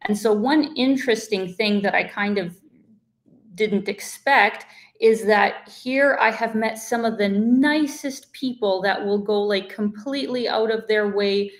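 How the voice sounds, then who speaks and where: यूक्रेन में जन्मी और कनाडा में पली-बढ़ी एक युवा महिला, कनाडाई लहजे में बोलती है और इंटरव्यू में मेहमान के रूप में आराम से बात करती है, बार-बार "like" और "you know" कहते हुए।. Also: female voice, medium pitch, a little slow. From Ukraine, raised in Canada. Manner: chatty, many "like" and "you know" fillers. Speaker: guest. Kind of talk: casual conversational interview.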